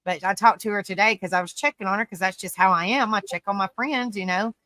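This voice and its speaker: Woman host, chatty and folksy, bright tone, very dry sound. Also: medium pitch, quick pace, no room sound. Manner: folksy, repeats phrases, says "bless your heart, honey". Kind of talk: casual live-stream chat.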